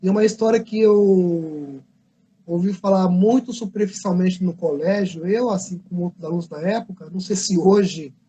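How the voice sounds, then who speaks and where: A man's voice: high voice, moderate pace, dark tone, muffled recording, a little hiss or hum. A Brazilian man, a comic book artist, telling a history story in a casual livestream, rambling and correcting himself as he goes. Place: convention livestream.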